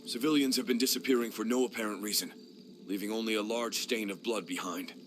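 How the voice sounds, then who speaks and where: A man voicing a deep, grave lord commander. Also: steady tone, quick pace, background noise, lightly acted. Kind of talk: game cutscene voice acting.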